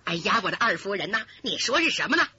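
A woman's voice: medium pitch, big pitch swings, quick pace, bright, forceful tone, breathy edge, voice pushed down, exaggerated voice. A woman voicing a grieving young noblewoman who wails and pleads through tears, on a lo-fi recording. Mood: anguished. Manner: wailing, pleading.

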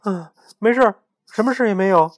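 Man doing a chubby little chick, high-pitched, shrill, panicky, secretive, dry close sound. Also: a little fast, dry recording, natural voice. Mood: frightened. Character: chubby young male chick, jumpy and secretive.

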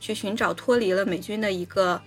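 A woman's voice: mid-range voice, steady tone, a little fast, soft background music. A woman co-hosting a casual film discussion, going step by step with repeated linking words. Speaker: podcast co-host.

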